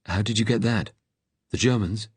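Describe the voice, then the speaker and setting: Man playing a steady, kindly, brisk vicar. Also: on the low side, husky, no room sound, pitched above the performer's own voice. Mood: calm, concerned. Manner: gentle but brisk, direct. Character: kindly, weary male vicar, calm and firmly commanding.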